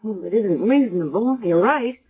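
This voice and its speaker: A woman's voice: mid-range voice, expressive, moderate pace, warm tone, breathy edge. An actress playing an earnest, worried woman doctor in a 1970s radio drama. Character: concerned woman hospital doctor. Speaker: hospital doctor. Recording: dull lo-fi vintage radio recording.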